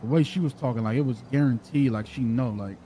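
Dude on game voice chat, fast slangy talk, repeats stuff, faint noise. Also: male voice, mid-range voice, dark tone. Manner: slangy, repetitive. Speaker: fellow role-play gamer. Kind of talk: casual in-game role-play chatter.

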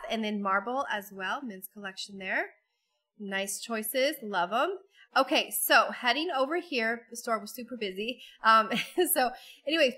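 A woman's voice: mid-range voice, sharp tone. A woman walking through a busy store with a handheld phone, chatting casually as a vlogger while she keeps losing her voice, with frequent ums and little laughs. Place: busy retail store, indoors. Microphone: handheld phone camera.

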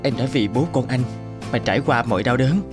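A man's voice: medium pitch, a little fast, close to an everyday voice. A man voicing a devoted young husband and new father in a cartoon, heartfelt and emotive. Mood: grateful, tender. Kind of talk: dubbed cartoon dialogue. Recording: music behind the voice. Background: music.

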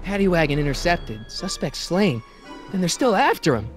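A man's voice: high-pitched, sing-song, normal speed. A man voicing an earnest, anxious teenage boy in a video game, urgent and boyish, with music behind him. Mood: worried, muttering. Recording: game audio under loud music.

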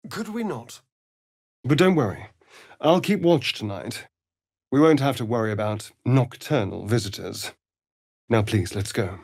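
An actor playing a sardonic, flirtatious vampire elf rogue in a video game, with an arch, droll, theatrical delivery. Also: male voice, medium pitch, no room sound, clean studio sound. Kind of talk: video game character voice lines.

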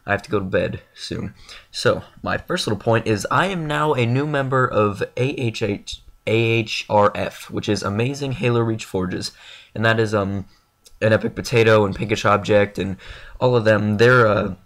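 Young guy all stuffed up, lowish voice, rambles with lots of ums, talking over game clips. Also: normal speed, a bit bright. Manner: rambling, filler-heavy, self-deprecating. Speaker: gaming YouTuber. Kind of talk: casual channel update over gameplay.

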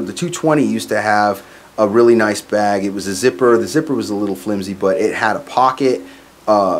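Male, 40s, lowish voice, informal musician reviewing live sound gear, clear background noise on the recording. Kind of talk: casual product demo. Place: small curtained home studio, indoors. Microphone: microphone on boom stand into PA, plus some room sound.

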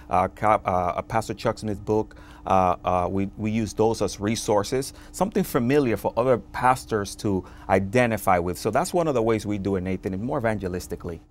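A man from the Dominican Republic, a pastor, speaking earnestly as a guest in a television studio interview, often pausing on "uh". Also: on the low side, slight background noise. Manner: earnest, fervent, with frequent filler "uh". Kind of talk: conversational testimony. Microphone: lapel mic.

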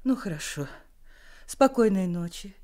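A woman playing a tender, genteel mother, gentle and solicitous, in a radio play. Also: mid-range voice, expressive, measured pace, close to an everyday voice. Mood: tender concern. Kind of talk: Soviet radio play acting.

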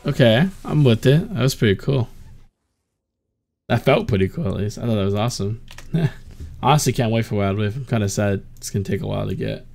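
A young man from the United States giving casual live reaction commentary on a trailer from his room at home, laid-back with excitable bursts. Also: lowish voice, brisk, creaky. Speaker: YouTube reactor. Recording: game trailer music playing behind.

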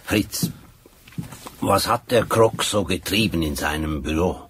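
An actor playing a shrewd, grumbling old police sergeant in a radio drama, gruff and wry. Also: male voice, low voice, a little slow, a bit bright, exaggerated voice. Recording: faintly noisy radio drama recording.

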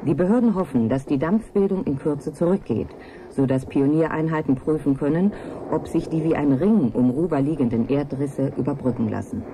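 An actress playing a sober news announcer, reading a bulletin in a formal, precise, factual manner in a radio play. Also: female voice, deep voice, normal speed, background noise. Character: sober female news announcer reporting a disaster.

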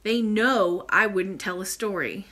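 A woman doing a stubborn boy, blunt, sulky, offended, bright forceful voice, storybook character. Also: mid-range voice, unhurried. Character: stubborn, gruff, plain-spoken young miner boy.

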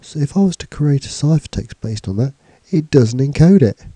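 Male tutor, stuffy from a cold, very dark and husky tone, relaxed and halting delivery, dry close sound. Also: fairly high pitch, no room sound. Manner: casual, hesitant with fillers and restarts. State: has a cold, bunged up. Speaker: computing teacher. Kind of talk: screencast tutorial. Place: indoors, voice-over on slides and screen recording.

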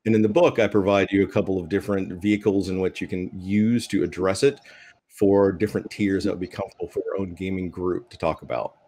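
Deep-voiced man on a panel. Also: steady tone, fast talker, darkish tone. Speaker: panelist, game designer. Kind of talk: thoughtful panel discussion. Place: indoor room at home. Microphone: video call.

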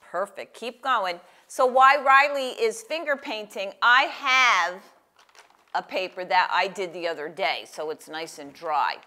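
A woman's voice: medium pitch, pushed and bright. An older woman hosting a children's story time and craft, speaking in simple, encouraging phrases and questions to a young child. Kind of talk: reading aloud and guiding a child through a craft. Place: small indoor room with table. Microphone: lapel.

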